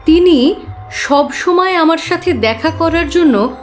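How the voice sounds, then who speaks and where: High-voiced, earnest young woman. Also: expressive, slow, faint music behind, exaggerated voice. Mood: earnest, troubled. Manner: earnest, careful storytelling. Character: earnest, trusting, troubled young woman client. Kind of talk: Bengali audio drama, recounting events.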